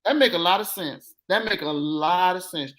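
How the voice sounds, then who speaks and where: Deep-voiced woman, 50s, blunt and emphatic co-host of a casual chat. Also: normal speed, over a noisy crowd. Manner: blunt, emphatic, repeats her points.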